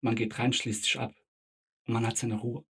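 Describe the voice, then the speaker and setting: Husky middle-aged man, casual answers. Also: mid-range voice, flat delivery, normal speed, fairly bright tone. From Germany, Bosnian parents. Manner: short affirmative replies, hesitant fillers. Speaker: interview guest, tram driver.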